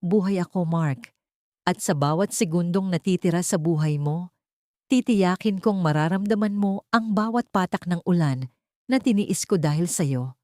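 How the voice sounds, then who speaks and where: A woman's voice: on the low side, moderate pace, darkish tone, dry recording, clean studio sound, lightly acted. A woman narrator voicing an earnest, wronged young wife with resolve in an audiobook. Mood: cold defiance. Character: wronged young wife turning steely and defiant.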